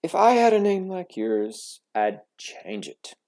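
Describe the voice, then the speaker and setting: A man's voice: high voice, big pitch swings, slow, creaky, a little mellow, voice pushed up, exaggerated voice. A man voicing a snooty know-it-all schoolgirl mouse bully in a children's storybook, haughty and smug. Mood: haughty, teasing.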